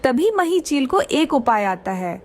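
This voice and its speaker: Woman narrator for children's tales: high-pitched, very expressive melody, scripted storytelling. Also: a little slow.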